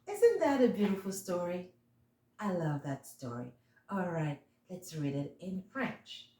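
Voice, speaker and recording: A woman's voice: on the low side, very animated, slow, dark tone. A woman reading a picture book aloud expressively for young children, addressing her listeners as friends. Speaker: children's storybook reader. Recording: roomy, echoey sound.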